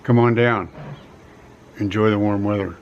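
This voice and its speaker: A middle-aged man giving a casual vlog pitch from a quiet rural home, talking in a folksy way, repeating himself and stressing key words. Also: steady tone, measured pace, dull audio, slight background noise. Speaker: vlogger offering relocation advice.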